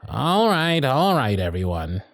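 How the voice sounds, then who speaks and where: A man's voice: mid-range voice, big pitch swings, unhurried, fairly bright tone, dry recording. A man playing a firm yet fond, protective Christmas elf supervisor in a scripted ASMR roleplay, speaking in a bossy, teasing, affectionate way. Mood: commanding, irritated. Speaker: audio roleplay voice actor. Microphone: close, dry studio mic.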